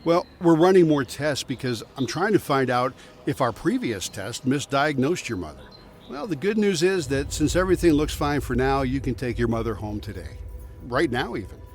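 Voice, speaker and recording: A man playing a calm, reassuring hospital doctor in an audio drama. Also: mid-range voice, normal speed, natural voice.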